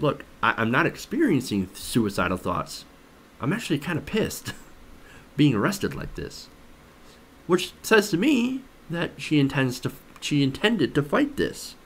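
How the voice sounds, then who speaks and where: A man's voice: medium pitch, fairly bright tone. A man giving conversational news commentary as a host, skeptical and emphatic, often posing rhetorical questions. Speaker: host of a political commentary show.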